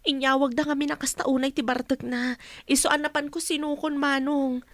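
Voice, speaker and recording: A woman playing a high-voiced, meek young Ilocano wife. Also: level tone, moderate pace, a bit bright, dry recording, studio quality. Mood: meek, anxious. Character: patient young wife and mother, meekly enduring a drunkard husband. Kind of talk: radio drama acting.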